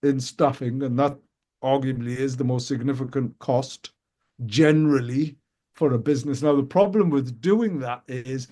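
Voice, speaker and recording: Chatty male radio host, mellow tone. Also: medium pitch. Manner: animated, quick questions, many restarts. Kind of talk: casual business chat on live radio. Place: radio studio.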